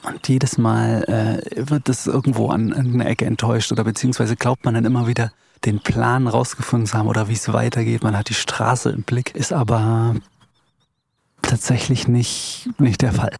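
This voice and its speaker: A man reflecting as an interview guest, haltingly searching for his words as he explains his thoughts. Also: medium pitch, level tone, creaky, a little mellow, slightly rough, no echo. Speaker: interview guest, composer and pianist.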